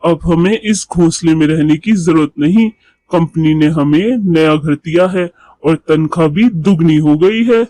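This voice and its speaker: A man's voice: fairly high pitch, unhurried, mellow, no echo, close to an everyday voice. A man voicing an eager, honest pigeon husband in a moral cartoon. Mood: excited. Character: excited, hardworking honest male pigeon husband. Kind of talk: Hindi moral cartoon voice acting.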